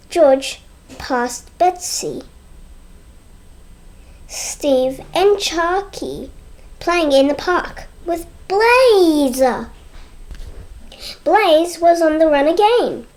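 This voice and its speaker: A child reading a storybook aloud in a halting way, stumbling and repeating words. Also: high voice, lively intonation, darkish tone. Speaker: read-aloud presenter.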